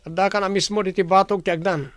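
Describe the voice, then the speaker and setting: An actor playing a young man, a forceful rival in love, in a radio drama with a Filipino accent. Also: sits high, steady tone, fast, no room sound, lightly acted. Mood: earnest. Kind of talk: Ilocano radio drama acting.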